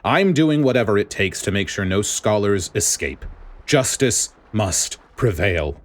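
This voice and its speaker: A man's voice: lowish voice, lively intonation, unhurried. A man voicing a grim, resolute vice admiral in a story, muttering and terse with steely resolve.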